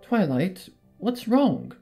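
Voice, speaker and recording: A man voicing a refined female pony, nervous and gently probing, in a story reading. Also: high voice, sing-song, takes it slowly, creaky, faint music behind, voice pushed up, heavily acted. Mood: anxious, pressing. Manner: nervous, fretful questioning.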